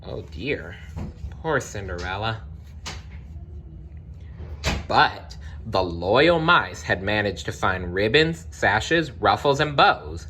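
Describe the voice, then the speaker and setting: A man narrating a children's storybook aloud in an expressive read-along style. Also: on the low side, takes it slowly, long pauses, a little hiss or hum. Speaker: storybook reader.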